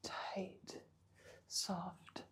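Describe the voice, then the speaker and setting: A woman whispering an intimate roleplay monologue close to the mic, breathless and pleading, with soft moans between words. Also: very slow. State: aroused and breathless. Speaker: audio roleplay performer.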